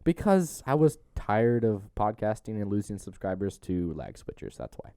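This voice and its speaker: A young man hosts a casual podcast with a very lively, swinging pitch and a mellow tone. He banters jokingly and laughs easily, and the sound is close and dry. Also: normal speed, no room sound. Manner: jokey banter, breaks into laughter often. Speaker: podcast host.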